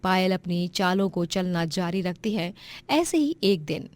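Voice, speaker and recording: A woman's voice: on the low side, lively intonation, measured pace, darkish tone. A woman narrating a scripted moral story.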